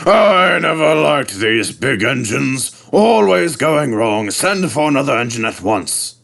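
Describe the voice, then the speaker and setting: A man's voice: medium pitch, very animated, a little slow, gravelly, fairly bright tone, pitched above the performer's own voice, full character voice. A fat railway director from a storybook as a man plays him gives orders in a pompous, commanding way. He is bossy and self-important, always grumbling.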